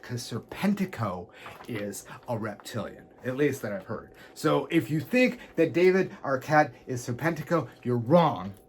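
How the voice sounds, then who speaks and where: Guy wrestler reading out listener letters, medium pitch, bit of noise behind him. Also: lively intonation, a little slow. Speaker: pro wrestler guest. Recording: noisy background.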